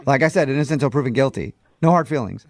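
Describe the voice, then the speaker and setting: A man's voice: medium pitch, lively intonation, very fast, a little mellow, close to an everyday voice. A man playing a chatty county court clerk on a prank phone call, casual, evasive and amused as he breaks awkward news. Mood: sheepish, flippant.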